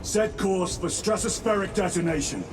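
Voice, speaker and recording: An actor playing a battle-hardened space marine squad leader in a video game, grim and devout, giving solemn, clipped military orders. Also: male voice, sits high, normal speed, noisy background, lightly acted. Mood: grim, resolute. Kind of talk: cinematic video game voice acting.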